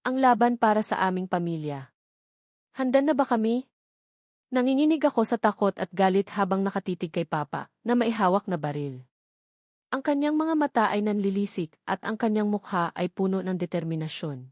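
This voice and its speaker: A woman's voice: medium pitch, animated, brisk, a little mellow, no room sound, studio quality. A woman reading a scripted first-person romance drama as its narrator.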